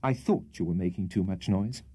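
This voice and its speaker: A man's voice: sits low, moderate pace, dark tone, husky. A man voicing an anxious, miserable young host in a storybook reading, pleading with strained politeness. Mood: miserable, placating. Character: anxious, miserable young male host cowed by his landlady.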